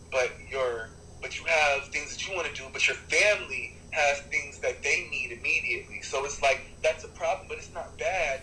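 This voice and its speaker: Animated, bright-voiced man in echoey room. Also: fairly high pitch, a little hiss or hum. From United States. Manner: animated, restarts often, swears for emphasis. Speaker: rapper and artist, interview guest. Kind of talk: rambling interview answers.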